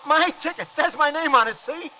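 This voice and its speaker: A man's voice: very high voice, a little fast, slight background noise. An American man acting a desperate accused husband in an old radio drama, agitated, stammering and pleading over his alibi. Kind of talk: 1940s-50s radio drama acting and narration. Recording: muffled vintage radio recording.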